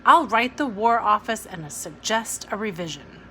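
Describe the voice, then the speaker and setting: Woman reading as a brisk, wry guardian woman, noisy recording. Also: medium pitch, animated, bright, forceful tone.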